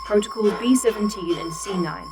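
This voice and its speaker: Woman acting as a formal facility A.I., loud music behind. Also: mid-range voice, a little fast, close to an everyday voice. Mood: detached, procedural. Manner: formal, rule-bound, detached. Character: unflinching procedural female facility A.I. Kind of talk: sci-fi audio drama acting.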